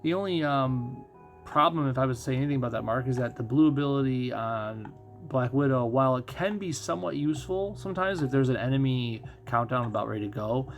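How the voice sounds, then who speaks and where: A man with a gravelly, mid-pitched voice chats casually as he streams a game, with faint music behind him. He talks through the mechanics conversationally, thinking aloud as he goes. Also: lively intonation, brisk. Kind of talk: casual live gaming commentary and chat.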